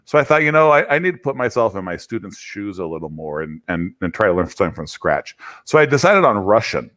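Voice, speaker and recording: A man hosting a relaxed interview, telling a personal story about learning languages in a chatty, animated way. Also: fairly low pitch, fast. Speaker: interview host. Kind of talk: casual interview conversation.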